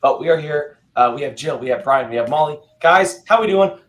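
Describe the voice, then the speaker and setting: A man with a hoarse, failing voice casually hosting a show. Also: on the high side, expressive, a little fast. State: hoarse, losing his voice. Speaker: show host.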